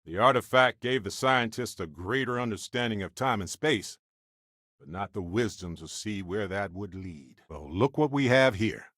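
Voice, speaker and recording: An actor playing a witty, adventurous male space explorer companion in a video game, speaking in an expressive, conversational way. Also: on the low side, normal speed, no room sound, clean studio sound. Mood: reflective, earnest.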